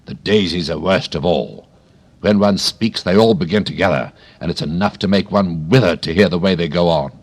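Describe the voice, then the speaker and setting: A man reading a storybook and voicing a proud, bossy female tiger lily flower in an imperious, slow, commanding manner. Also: low-pitched, husky. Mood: haughty.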